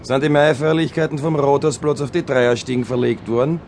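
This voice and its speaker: A man's voice: medium pitch, steady tone, brisk, a little mellow, a little hiss or hum, lightly acted. An actor with a Viennese accent playing a contemptuous, sardonic doctor who looks down on his neighbours, in a radio play. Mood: sardonic, disdainful. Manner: sardonic, disdainful. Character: contemptuous, sardonic male doctor, apartment tenant.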